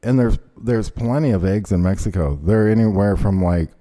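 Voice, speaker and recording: Brief: male host, rambling indignant rant, low and mellow voice. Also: normal speed. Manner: rambling, indignant, many fillers. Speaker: show host. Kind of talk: ranting casual chat.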